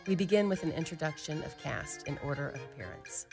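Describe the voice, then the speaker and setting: A woman announcing a radio play in a measured, theatrical, scripted introduction. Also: sits low, expressive, vocal fry, music in the background.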